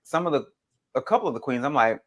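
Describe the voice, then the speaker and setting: A man's voice: medium pitch, very animated, fast, fairly bright tone. A man chatting casually as a podcast panelist, slangy and given to swearing. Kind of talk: casual group chat recapping a TV show.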